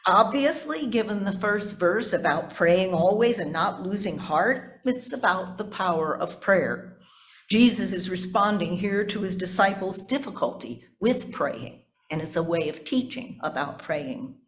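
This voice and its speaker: A woman pastor giving a sermon that explains scripture, stressing key words emphatically. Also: fairly low pitch.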